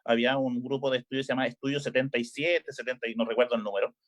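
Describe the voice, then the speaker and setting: Middle-aged Chilean coach, speaking in a relaxed interview, halting and full of fillers. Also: male voice, medium pitch. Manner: hesitant, many filler "eh"s and restarts. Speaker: volleyball coach.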